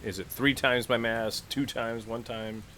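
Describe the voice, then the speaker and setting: A man's voice: medium pitch, fairly even intonation, unhurried, bright, forceful tone, slight background noise. A man talking casually as a player in a tabletop role-playing game.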